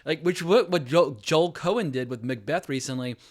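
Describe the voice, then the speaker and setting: A man's voice: fairly high pitch, moderate pace. A man with a mild North Carolina Southern accent, hosting a casual film podcast chat and swearing now and then. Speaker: podcast host.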